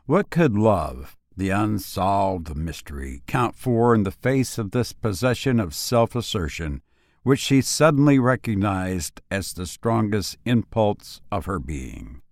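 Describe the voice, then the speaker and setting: A man narrating a literary story from a script, with measured, dramatic phrasing. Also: fairly low pitch, sing-song, no room sound, studio quality. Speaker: audiobook narrator.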